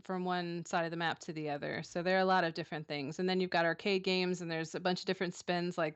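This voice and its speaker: A woman in her thirties chats as a guest on a streaming interview. Her voice sits low for a woman, and she talks in a halting way, filling her gaps with ums and restarting her sentences. The sound is dry, with almost no room. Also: clean tone, no room sound. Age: 30s. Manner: hesitant, many ums and restarts. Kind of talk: casual interview answers.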